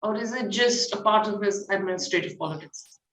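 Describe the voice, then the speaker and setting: A woman's voice: medium pitch, fairly quick, a bit bright. A woman with an Indian accent, asking questions as a news interviewer. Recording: roomy, echoing sound.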